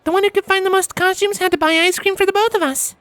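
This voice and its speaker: A woman's voice: very high-pitched, steady tone, fast talker, a bit bright, dry recording. A woman voicing a cheerful young female pony in an audio story, chirpy and giggly with a sweetly teasing, cooing manner. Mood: fond, nostalgic. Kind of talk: fan fiction audio story character acting.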